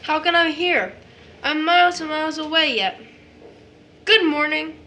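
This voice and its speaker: A woman playing Jimmy, a lively, argumentative little boy, in an audio drama. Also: high-pitched, a little slow. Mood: playful.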